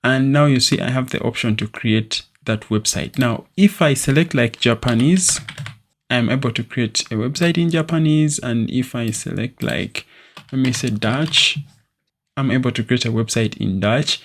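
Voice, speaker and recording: A young man hosting a screen-recorded software tutorial, walking through steps in a steady, explanatory way with occasional fillers, recorded at a desk in a small room. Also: mid-range voice, normal speed, slight background noise. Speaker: tutorial host.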